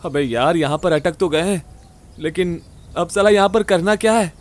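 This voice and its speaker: A man's voice: high-pitched, moderate pace, lightly acted. A man playing a weary young detective hero in a horror audio drama, swearing and mixing in English. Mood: frustrated.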